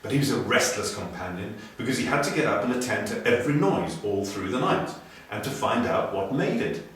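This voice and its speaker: Animated male storyteller in an echoey room. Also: on the low side, fairly quick. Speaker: storybook reader. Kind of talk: scripted children's story narration, expressive. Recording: very echoey room, distant mic.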